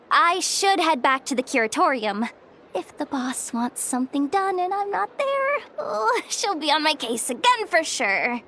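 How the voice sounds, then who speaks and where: A high, slow-paced young woman in a video game, with melody that moves a lot. She is pragmatic and dismissive on top with a soft heart beneath, and music plays behind her. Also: heavily acted. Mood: wry, casual. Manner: casual, wry, dismissive. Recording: studio voice over game music. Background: background music.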